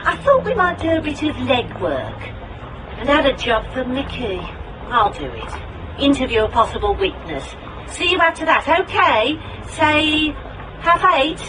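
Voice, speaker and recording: An actress with a British accent playing a brisk, bossy, wry private investigator in a radio drama. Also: female voice, sits high, very animated, noisy background. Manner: brisk, commanding, teasing. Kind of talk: radio drama acting with noir monologue.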